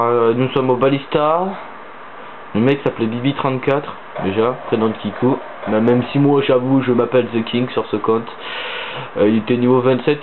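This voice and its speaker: A teenage boy with a cold and a stuffy nose, rambling casually with many hesitations as a gaming channel host. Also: mid-range voice. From southern France. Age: teens. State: stuffy-nosed from a cold, sniffling. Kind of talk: casual gaming commentary voice-over. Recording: tinny phone-like mic with faint hiss.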